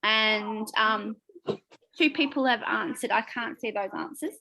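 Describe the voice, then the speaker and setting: A woman co-hosting an online class, upbeat and quick to laugh as she reads out poll results. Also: fairly high pitch, pushed and bright, rough recording. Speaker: co-host.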